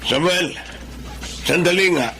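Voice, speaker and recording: A man's voice: high voice, slow pace, over music, low-quality recording. An actor playing a bitter, nagging middle-aged father who grumbles scornfully in a Tagalog radio drama. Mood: stern.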